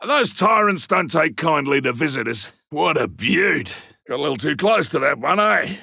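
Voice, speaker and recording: A seasoned Australian monster hunter for a video game, cocky and colloquial. The voice is gravelly and pushed fairly high for a man, with a forceful bark in a dry, close recording. Lines come as blunt field callouts. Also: very animated, moderate pace, no room sound, exaggerated voice. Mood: calm, wry. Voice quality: gravelly, rough. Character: gruff male monster hunter, rugged, cocky, seasoned trapper.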